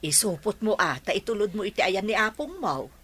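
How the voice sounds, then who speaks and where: Radio drama no-nonsense mother giving orders, forceful bright voice, mid pitch. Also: sing-song, fairly quick, slightly rough. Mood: bossy, instructing. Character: bossy practical mother of grown son.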